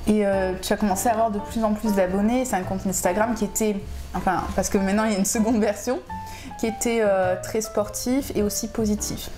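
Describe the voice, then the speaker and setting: A woman's voice: mid-range voice, steady tone, brisk, over music. A woman from southern France hosting a casual interview in a playful, joking way. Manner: playful, joking, laughing often.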